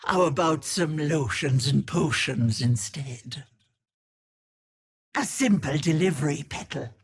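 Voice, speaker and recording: A woman playing a sly old hag witch in a video game, with an Irish accent and a husky, raspy voice that wheedles sweetly and turns menacing. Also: deep voice, slow, full character voice. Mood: gleeful, sly. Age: older. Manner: wheedling endearments turning to menace. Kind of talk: video game character acting.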